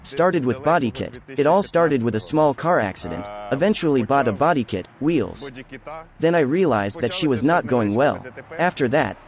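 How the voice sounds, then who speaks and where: Man, car owner, brief plain answers, outdoors, mid pitch. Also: animated, moderate pace, crowd noise. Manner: brief, matter-of-fact answers. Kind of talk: interview answers.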